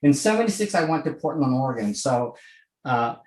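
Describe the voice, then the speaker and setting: Man in his sixties, lively pitch, echoey room. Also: a little fast. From Hong Kong. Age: 60s. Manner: conversational, frequent "okay?" check-ins. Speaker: speaker. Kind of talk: presentation to a virtual audience. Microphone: video call.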